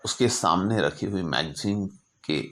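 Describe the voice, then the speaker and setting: A man narrating stories informally as an astrologer, speaking deliberately in short phrases broken by pauses. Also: low-pitched, bright, forceful tone. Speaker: astrologer telling client stories.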